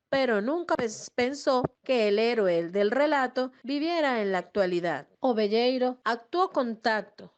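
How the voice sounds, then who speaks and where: Woman narrator with a high, formal voice. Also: lo-fi sound. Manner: measured, formal storytelling delivery. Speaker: documentary narrator. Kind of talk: scripted narration reading an old press report.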